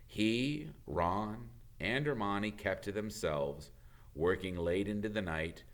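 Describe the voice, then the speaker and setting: A man, a teacher, reading a storybook aloud with expressive narration. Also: fairly low pitch, slow pace.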